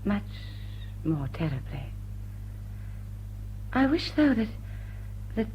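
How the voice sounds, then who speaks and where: A woman's voice: mid-range voice, big pitch swings, slow pace, a little hiss or hum. An actress playing a witty lady thief in love in a radio play, with an upper-class English accent and an arch, teasing, poised manner. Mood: tender. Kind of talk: British radio play acting. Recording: dull old radio broadcast recording.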